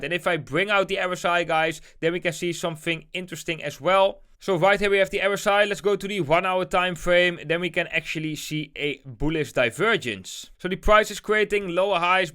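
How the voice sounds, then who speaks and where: Clear, higher-pitched man explaining charts. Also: pushed and bright. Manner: addresses viewers directly and informally. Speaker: host of a trading analysis video channel. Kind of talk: market chart commentary, explanatory.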